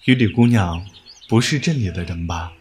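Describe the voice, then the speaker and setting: Man as a courteous, expressive young scholar. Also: sits low, moderate pace, a little hiss or hum. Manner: formal, literary, amused. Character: courteous, gentle young scholar of old times. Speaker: voice actor. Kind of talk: period audio drama acting.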